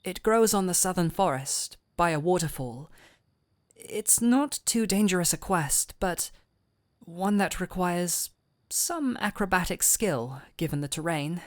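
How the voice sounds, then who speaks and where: Audio roleplay actress as kindly woman physician: deep for a woman, close dry recording, soothing and tender. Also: expressive, dry recording. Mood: earnest, explaining. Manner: gentle, unhurried, soothing. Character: gentle, caring fantasy physician woman, patient and softly teasing. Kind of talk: intimate scripted audio roleplay.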